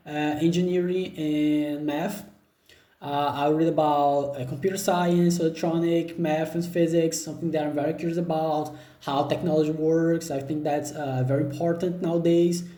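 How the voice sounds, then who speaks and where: Brazilian man, halting, roomy laptop sound. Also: a little high, fairly even intonation, warm tone. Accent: Brazilian Portuguese. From northeast Brazil. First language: Portuguese. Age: 20s. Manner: unhurried, hesitant with frequent fillers and restarts. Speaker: job seeker. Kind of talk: self-introduction video.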